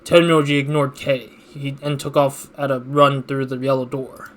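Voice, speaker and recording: A man hosting a let's play, reading game text aloud and commenting casually as he thinks out loud. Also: medium pitch, little pitch movement, normal speed, background noise.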